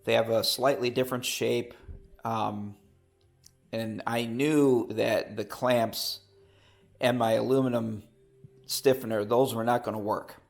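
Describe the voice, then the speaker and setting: A man with a cold, his voice rough and gravelly, chatting casually in his home workshop about building his aircraft, rambling and correcting himself as he goes. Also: medium pitch, steady tone, takes it slowly, long pauses. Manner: rambling, self-correcting, with hesitations. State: has a cold.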